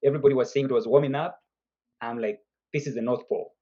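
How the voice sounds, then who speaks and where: Man, 50s, from Zimbabwe, warm relaxed tone, dull lo-fi sound, chatty. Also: medium pitch, fast. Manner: chatty storyteller, laughs between points. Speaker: guest entrepreneur. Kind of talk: casual interview on a video call.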